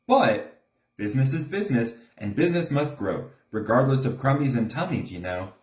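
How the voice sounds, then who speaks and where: A man's voice: medium pitch, expressive, moderate pace, darkish tone. A man reading a rhyming storybook aloud in a theatrical, emphatic way. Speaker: storybook reader. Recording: echoey room.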